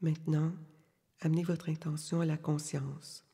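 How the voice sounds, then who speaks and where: A woman leading a guided yoga nidra meditation, giving calm, soothing, unhurried instructions with long pauses in a soft, breathy voice. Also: sits low. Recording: long reverberant hall-like echo.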